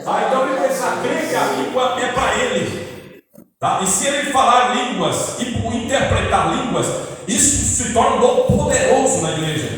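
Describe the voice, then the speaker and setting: A man with a Brazilian accent preaching a teaching sermon, often checking with listeners and restarting his sentences. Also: very high voice. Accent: Brazilian Portuguese. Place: large church hall. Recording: echoey church hall from far off, light noise.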